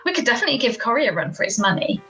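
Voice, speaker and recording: A woman's voice: on the high side, big pitch swings, rapid-fire, pushed and bright, dull audio, faint music behind, full character voice. A woman playing a chatty small-town gossip who tells scandal dramatically in an amateur radio play. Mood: gossipy, animated. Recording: roomy, echoey home video-call recording.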